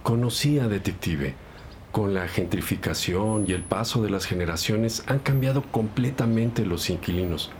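A man's voice: lowish voice, moderate pace, a little mellow, slight background noise, natural voice. A man playing a polite, nervous building worker in his sixties who gives testimony in an audio drama. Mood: worried, courteous. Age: 60s. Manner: polite, deferential.

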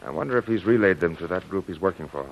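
An actor playing a reassuring, gallant military colonel with a soothing, avuncular manner in an old-time radio drama. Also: male voice, sits low, expressive, fast, vocal fry, slight background noise. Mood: businesslike. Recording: muffled vintage radio recording.

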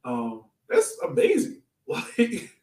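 A man hosting a sports podcast, chatting casually as a fan in an excitable, slangy, informal way. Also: high-pitched, takes it slowly. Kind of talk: casual fan chat about soccer. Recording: roomy echo, distant from mic.

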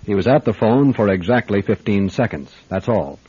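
An actor playing a calm, probing private detective in a radio drama, speaking in a measured, wry way. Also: male voice, lowish voice, husky. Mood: knowing. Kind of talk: radio drama acting with first-person narration. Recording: dull band-limited radio drama with music.

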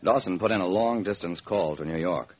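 A man's voice: low-pitched, fairly quick, darkish tone. A man acting as a hard-boiled sleuth narrating his case in an old-time radio crime drama, clipped and wry. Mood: matter-of-fact. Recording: noisy vintage radio recording.

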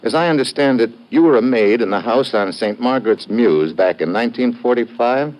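A man's voice: mid-range voice, lively intonation, a little fast, a little mellow, close to an everyday voice, poor audio. An actor playing a wry, hard-boiled American investigator who also narrates his case in an old radio drama. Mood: probing. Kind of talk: old-time radio detective drama, first-person narration.

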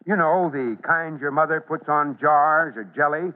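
A man acting a fatherly, commanding fire chief in an old-time radio drama, speaking plainly and with steady authority. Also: fairly high pitch, moderate pace, close to an everyday voice. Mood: kindly, instructive. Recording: tinny, noisy vintage radio recording.

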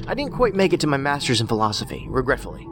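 An actor playing an eccentric, analytical federal investigator in an audio drama, wordy and dryly whimsical. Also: male voice, medium pitch, big pitch swings, quick pace. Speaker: federal agent, profiler.

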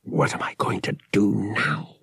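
A man's voice: on the low side, unhurried, gravelly, a bit bright, slightly rough, no echo, clean studio sound, full character voice. A man playing a brash, impatient young smuggler pilot in an audiobook, with a blunt, slangy way of talking. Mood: frustrated.

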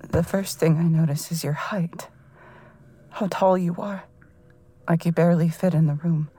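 A woman's voice: on the low side, steady tone, dark tone, dry recording, close to an everyday voice. A woman playing a shaken, hesitant character exploring a dead parent's house in a naturalistic audio drama. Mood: dread. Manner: hesitant, halting, nervous. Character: shaken grown child of a dead rich father, exploring a childhood home.

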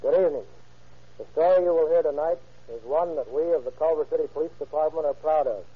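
Stiff, husky police lieutenant reading a statement. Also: male voice, a little high, fast talker. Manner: stiff and formal. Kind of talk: reading a prepared statement on radio. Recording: tinny 1930s radio recording.

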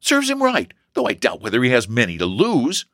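A man's voice: on the high side, sing-song, normal speed, a bit bright, no room sound, studio quality, voice pushed up. A man voicing an agitated, dramatic and nervous young woman in a storybook reading. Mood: spiteful.

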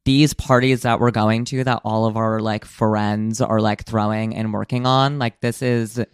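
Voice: mid-range voice, fairly even intonation, measured pace, clean tone, no echo, clean studio sound. A co-host of a podcast talking casually, retelling conversations with friends and laughing often. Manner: laid-back, storytelling, laughs often. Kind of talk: casual chat.

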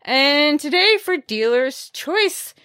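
A woman's voice: high voice, sing-song, takes it slowly, pushed and bright. Chatty woman hosting a books podcast. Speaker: podcast host reviewing books. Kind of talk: casual, chatty solo book recommendations.

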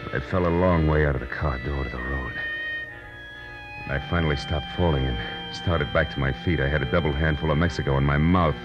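An actor playing a tough, wisecracking American private detective in a 1940s radio noir drama, with clipped, sardonic delivery. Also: male voice, a bass voice, fairly quick, muffled recording, music in the background. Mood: wry narrating. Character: hard-boiled, wisecracking male private detective, world-weary and tough. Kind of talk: 1940s radio noir acting and first-person narration.

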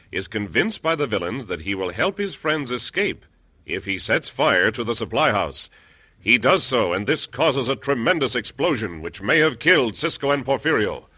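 Bright, animated American radio announcer man. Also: mid-range voice, moderate pace, breathy edge, no echo. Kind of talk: dramatic scripted narration, old-time radio.

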